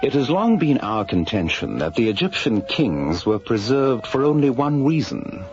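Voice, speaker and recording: An actor playing a courtly, learned scholar in a radio drama, speaking formally and with measured erudition. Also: male voice, medium pitch, big pitch swings, a little mellow, highs cut off, a little hiss or hum, lightly acted. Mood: earnest. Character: courtly older male scholar, learned and keen on bold theories. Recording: band-limited old radio drama, music behind.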